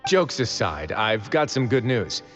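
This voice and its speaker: A man acting a composed, wry adult male warden in a video game, speaking in a measured, matter-of-fact way. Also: on the low side, very animated, long reverb tail, soft background music.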